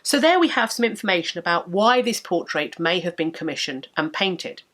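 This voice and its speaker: A woman in her thirties with a blocked nose and sore throat, giving a measured, articulate history lecture to camera from her home study. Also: fairly low pitch, big pitch swings, a bit bright. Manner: measured, articulate, enthusiastic explainer. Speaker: history educator and channel host. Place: home study with bookshelves, indoors.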